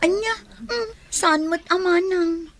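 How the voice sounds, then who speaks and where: A woman's voice: very high voice, slow pace, a bit bright, heavily acted. A woman acting a lovelorn, wistful young woman in a radio drama. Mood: flustered. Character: lovelorn young woman pining for her friend's boyfriend.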